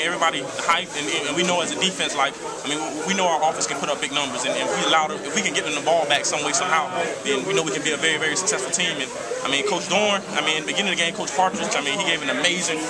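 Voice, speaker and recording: A young college football player giving a postgame locker room interview, halting and full of fillers. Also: male voice, on the high side. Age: young adult. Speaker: college football defensive back. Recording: loud crowd noise behind.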